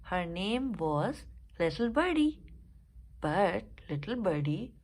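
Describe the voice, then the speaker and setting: A woman reading a children's story aloud with expressive, deliberate phrasing. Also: mid-range voice.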